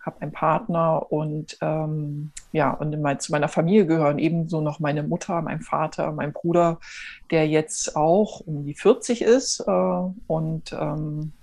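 A woman's voice: sits low, fairly even intonation, measured pace, darkish tone. A woman in her forties from Germany, a guest in an interview, telling her story in a rambling way with many fillers and restarts. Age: 40s. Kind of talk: casual interview talk.

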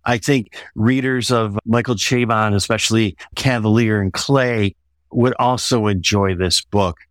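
A man's voice: fairly low pitch, fairly even intonation, unhurried, no echo. A man talking as a guest author in an interview, discussing his own work conversationally.

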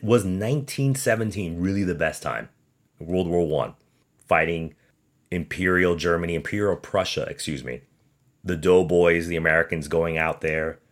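Male podcast host, low voice, opinionated sports monologue, emphatic, sarcastic, rhetorical questions. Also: fairly bright tone.

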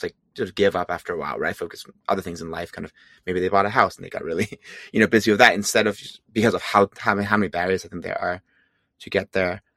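A man's voice: fairly low pitch, bright, forceful tone, dry recording, clean studio sound. A man from Hong Kong, a climbing guide, speaking in a hesitant interview manner with many restarts. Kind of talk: casual interview chat.

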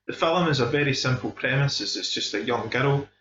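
A man's voice: mid-range voice, a little fast, background noise. Scottish guy chatting films, really keen and emphatic, roomy echoey sound, bit muffled. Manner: enthusiastic, emphatic. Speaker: film discussion podcast host. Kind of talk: casual film review chat. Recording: dull, echoey room recording.

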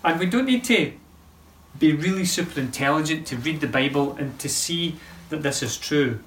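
A man's voice: a little high, moderate pace, a bit bright, slight background noise. Male pastor, earnest sermon delivery, distant mic in an empty church hall. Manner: earnest preaching with emphatic rises. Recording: roomy echo, voice from far off.

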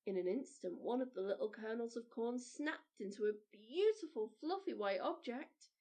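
Lady reading a kids story, highish voice, very animated and expressive, like a storytime host. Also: moderate pace. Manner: animated, addressing children directly. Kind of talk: expressive storybook narration for children.

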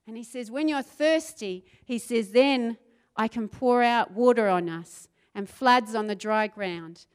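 New Zealand woman preaching, smooth and high. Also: unhurried. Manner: builds to fervent, rising intensity; asks the crowd questions, conversational asides. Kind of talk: sermon.

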